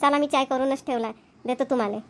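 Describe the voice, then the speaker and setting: A woman's voice: sits high, fairly even intonation, fast talker, close to an everyday voice. A woman voicing a doting, chatty village mother-in-law and grandmother in a cartoon comedy, with a rural Maharashtrian Varhadi accent. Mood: homely, practical. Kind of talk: Marathi cartoon comedy voice acting.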